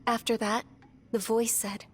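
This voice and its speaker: A woman playing a sincere, devout young noblewoman in a video game, speaking articulately and softly. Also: a little high, flat delivery, a little slow, husky. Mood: wistful, reflective. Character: earnest, devout young noblewoman recounting her childhood. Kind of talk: game dialogue and reflective narration.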